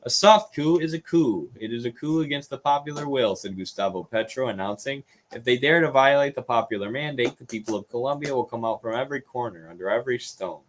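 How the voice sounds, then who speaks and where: A man's voice: mid-range voice, animated, fairly quick, few pauses, a little hiss or hum. American man giving informal political commentary. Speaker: political livestream co-host. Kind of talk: informal news commentary, partly reading aloud.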